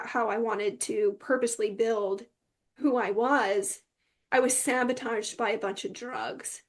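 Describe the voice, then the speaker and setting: Woman with a highish voice telling her own story in an interview, candid, emphatic. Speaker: interview guest.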